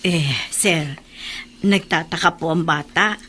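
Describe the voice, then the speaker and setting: A woman's voice: deep voice, slow pace, fairly bright tone, slight background noise. A woman playing a respectful, concerned household servant in a Tagalog radio drama, deferential, with a Filipino accent. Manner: deferential, questioning.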